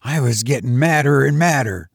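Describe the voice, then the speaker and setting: A man reading a first-person story as an audiobook, in a folksy, expressive manner with rustic dialect phrasing. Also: medium pitch, normal speed, gravelly, husky, no room sound, studio quality. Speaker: audiobook reader.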